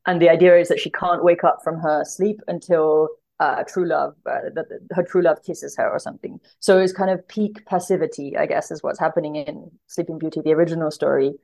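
A woman's voice: low voice, steady tone, brisk, vocal fry, few pauses, a little mellow, rough recording. A woman guest talking thoughtfully about literature in conversation, hesitant and correcting herself as she thinks aloud. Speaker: podcast guest, literary translator.

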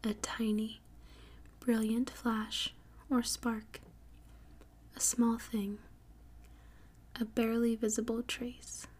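A woman hosts a sleep podcast in a soft ASMR whisper. Her voice is airy and breathy, with very little rise and fall. She takes her time, carefully repeating and spelling out words at a slow pace. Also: on the high side, level tone. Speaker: podcast host.

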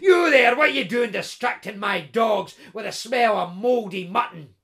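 A brutish, blustering rich farmer, voiced by a woman reading a story aloud. He talks coarsely and threatens with easy arrogance. It is a storybook villain, rough and boorish. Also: mid-range voice, expressive, a little slow, a bit bright. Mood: gruff menacing.

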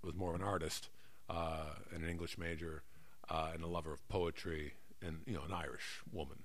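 A man's voice: low-pitched, a little fast. A middle-aged American man chatting casually and comically, rambling and often correcting himself as he goes. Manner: animated, rambling, self-correcting. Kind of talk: casual comedic chat.

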